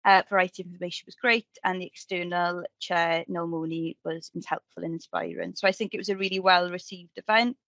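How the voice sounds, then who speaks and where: A woman's voice: on the low side, lively intonation, a little fast, few pauses, a bit bright, no room sound. Female presenter, informal and upbeat recap style, emphatic delivery; dry, slightly lo-fi sound. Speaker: committee member presenting at an annual meeting.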